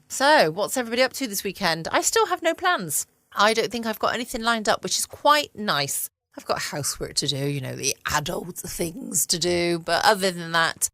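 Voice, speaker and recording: A woman's voice: mid-range voice, fairly bright tone, dry recording, clean studio sound. A woman hosting a casual bedtime podcast in a rambling, self-deprecating way. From United Kingdom. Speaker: podcast host. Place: at home, close dry recording.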